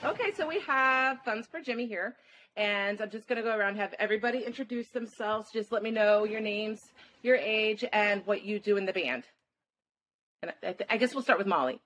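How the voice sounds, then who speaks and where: A woman's voice: medium pitch, a little fast, a bit bright, a little hiss or hum. Easygoing woman podcast host. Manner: easygoing, encouraging questioner. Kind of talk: interviewing a band.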